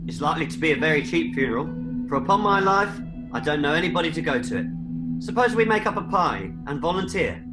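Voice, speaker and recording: A man plays a callous businessman in a radio drama, gossiping flippantly. His fairly high voice rattles along fast, with loud music underneath. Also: big pitch swings.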